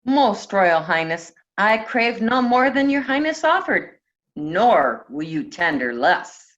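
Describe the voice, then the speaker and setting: A woman's voice: mid-range voice, sing-song, slow, a bit bright, muffled recording. A woman playing a polite, cautious duke in deferential, formal Shakespearean verse. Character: Duke of Burgundy, polite, cautious noble suitor. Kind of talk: Shakespeare reader's theatre acting.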